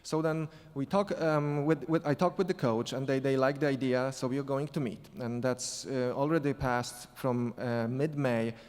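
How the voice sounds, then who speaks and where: A man speaking English with a non-native accent, telling a story on a conference panel, halting and restarting often. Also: mid-range voice, steady tone, a little mellow. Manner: halting, many restarts and fillers. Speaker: sport psychology consultant on a panel. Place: conference hall. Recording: echoey hall reverb.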